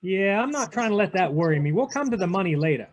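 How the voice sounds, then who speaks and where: An actor playing a tough, defiant, wisecracking private detective in a 1940s radio drama, with blunt, slangy delivery. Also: male voice, high-pitched, very animated, fast. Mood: firm. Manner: clipped, blunt, slangy hardboiled talk. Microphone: video call.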